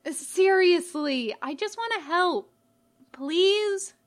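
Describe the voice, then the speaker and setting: A woman voicing a gentle, casual male skeleton monster in a story, earnest and puzzled. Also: very high-pitched, lively intonation, slow, voice pushed up, heavily acted. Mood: gentle, pleading.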